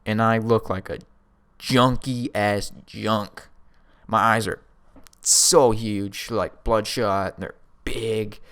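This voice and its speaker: A man's voice: lowish voice, fairly bright tone, smooth. A young man casually telling a first-person story in a rambling, slangy way, often with a chuckle. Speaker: storyteller vlogger.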